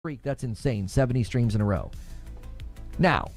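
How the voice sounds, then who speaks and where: A man's voice: mid-range voice, animated, normal speed, dry recording, faint music behind. A man talking casually on a live stream in a snarky, joking way. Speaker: streamer in played clip.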